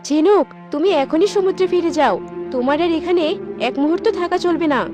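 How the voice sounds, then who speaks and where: High-voiced earnest village daughter. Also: female voice, a little fast. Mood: urgent. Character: earnest, loyal young daughter who sells pearl necklaces. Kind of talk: Bengali fairy-tale cartoon dubbing. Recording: faint music under the voice.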